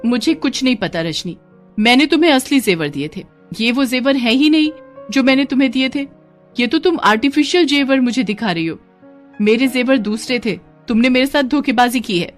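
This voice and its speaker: A woman's voice: high voice, animated, moderate pace, faint music behind, voice pushed up. A woman voicing a chatty, scheming young married friend in a cartoon moral story. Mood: accusing.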